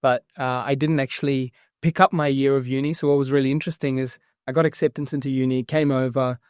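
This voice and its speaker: Energetic New man, dry sound. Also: mid-range voice, clear, resonant tone, no echo. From New Zealand. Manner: energetic, fast-flowing explanations with fillers. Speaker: guest, property investment founder. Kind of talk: casual interview talk.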